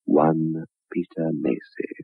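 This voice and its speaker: Deep, gravelly jealous husband. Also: male voice, flat delivery, slow pace, no echo, exaggerated voice. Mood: coldly menacing. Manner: theatrical, sarcastically endearing, insistent. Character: jealous, menacing, mock-tender wronged husband. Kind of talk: 1960s radio drama acting. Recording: muffled, narrow-band old radio recording.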